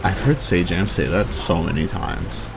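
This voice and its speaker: A man's voice: deep voice, normal speed, vocal fry, very noisy. A man chatting casually during a let's play, slangy and offhand. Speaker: gaming streamer. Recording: game music behind the voice.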